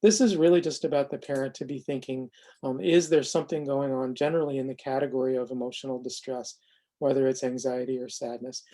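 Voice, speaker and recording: A presenter giving an explanatory software demonstration in a measured way, often pausing mid-sentence. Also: mid-range voice, steady tone. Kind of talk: semi-scripted explanatory demo narration.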